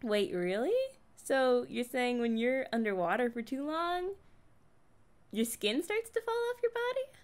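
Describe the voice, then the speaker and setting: A young woman acts out a kind mermaid. She speaks very slowly, in a casual, chatty way with hesitant pauses. The style is conversational ASMR roleplay, curious yet a little guarded. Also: a little high, expressive. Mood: curious, teasing.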